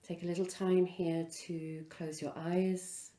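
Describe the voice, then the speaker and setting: A woman leading a guided relaxation as a yoga instructor, speaking in an unhurried, soothing way with pauses between phrases. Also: on the low side, flat delivery, dark tone, reverberant.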